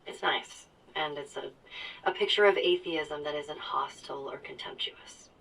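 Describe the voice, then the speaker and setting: A woman's voice: low voice, a little slow, gravelly, fairly bright tone, breathy edge, recorded from a distance, exaggerated voice, low-quality recording. A woman playing a thoughtful discussion member in a game audio log, speaking in a hesitant, reflective way. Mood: tentative, appreciative.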